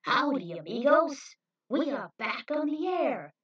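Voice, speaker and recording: A woman's voice: high-pitched, very animated, unhurried, a bit bright, lo-fi sound, voice pushed up, exaggerated voice. A woman voicing a showy announcer of a TV bounty show in a story. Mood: exuberant. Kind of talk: TV presenter patter.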